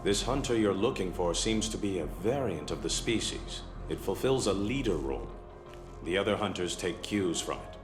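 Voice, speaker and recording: Video game scientist: deep voice, analytical, measured briefing delivery. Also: male voice, expressive. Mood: clinical, measured. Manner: measured, clinical, explanatory. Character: clinical, analytical male scientist briefing an ally. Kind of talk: expository game cutscene dialogue. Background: music.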